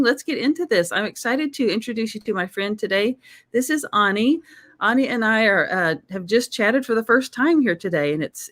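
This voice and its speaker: Enthusiastic woman hosting a chat. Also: fairly high pitch. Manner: warm, enthusiastic, encouraging. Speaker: host. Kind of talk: video chat show hosting.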